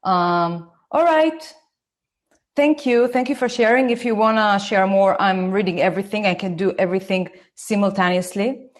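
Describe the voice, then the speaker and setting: A woman in her forties from Israel with an Israeli accent, speaking slowly and carefully as she gives a live online talk to parents. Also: medium pitch. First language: Hebrew. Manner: slow, deliberate, and careful for her listeners. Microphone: phone.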